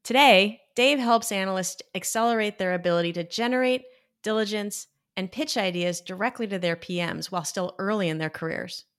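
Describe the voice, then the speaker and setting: A woman's voice: mid-range voice, a little fast, a bit bright. A woman hosting a podcast, giving a polished, upbeat scripted introduction. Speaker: podcast host and program director. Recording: voice over background music. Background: music.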